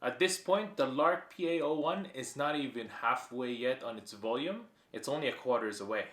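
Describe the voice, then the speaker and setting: A man's voice: medium pitch, moderate pace, a bit bright, soft background music. A man narrating a casual hands-on product demo, pointing things out piece by piece in short phrases. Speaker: product reviewer.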